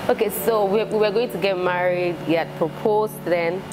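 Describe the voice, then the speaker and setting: A young Nigerian woman, a shoemaker, chatting in an interview in a shoe showroom and telling her story with animated, repeating phrases. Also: mid-range voice, normal speed, background noise. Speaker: shoemaker and entrepreneur. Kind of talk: casual interview chat.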